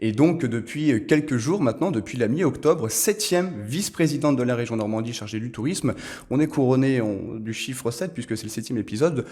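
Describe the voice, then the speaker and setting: Guy hosting a formal podcast interview, mid-pitched voice, polite, wordy, bit hesitant. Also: lively intonation, fairly quick, a little mellow. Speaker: podcast host.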